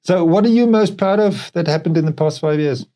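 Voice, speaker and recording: South African man, middle-aged, mellow relaxed voice, business co-founder talking reflectively on a podcast. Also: on the high side, fairly quick. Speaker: company co-founder and podcast producer.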